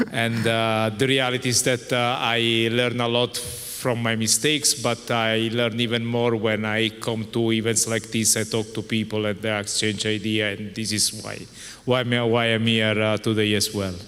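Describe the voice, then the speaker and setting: A man from Italy introduces himself on a panel in a flat, even voice that stays smooth and resonant. He hesitates often and makes fun of himself, and a long reverb trails through the auditorium. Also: lowish voice, unhurried, faint music behind. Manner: hesitant, self-deprecating, candid. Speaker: panelist, software developer. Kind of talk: conference self-introduction. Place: large conference auditorium.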